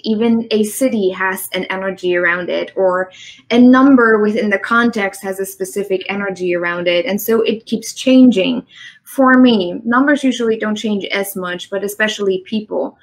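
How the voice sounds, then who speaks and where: A woman from East Germany who speaks English with a German accent, a guest who talks in an earnest, reflective way with frequent fillers and laughs. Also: fairly high pitch. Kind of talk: conversational interview. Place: home in the Midwest. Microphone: online live stream.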